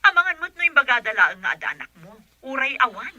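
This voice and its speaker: A woman's voice: high-pitched, very animated, quick pace, sharp tone, no room sound, highs cut off, heavily acted. An actress playing a bitter, accusing rich man's wife in a radio drama. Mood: needling.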